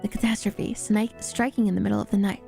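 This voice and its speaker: A woman's voice: mid-range voice, fairly even intonation, fast, gravelly, dark tone, faint music behind. A woman VTuber reading scripted story narration aloud in a dramatic readalong style.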